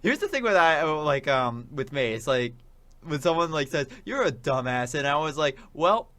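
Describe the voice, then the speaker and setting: A young man co-hosting a casual radio talk show, chatting in an excitable, rambling way and often saying "like". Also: on the high side, normal speed. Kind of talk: casual banter about games and memes.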